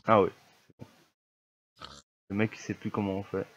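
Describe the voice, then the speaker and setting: A man's voice: fairly low pitch, flat delivery, measured pace, a bit bright, dry recording, dull audio. Grumbling young man with a cold. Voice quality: slightly stuffy, congested. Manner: grumbling, easily annoyed at lag. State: has a cold, coughing. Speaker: gamer. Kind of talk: casual gameplay commentary.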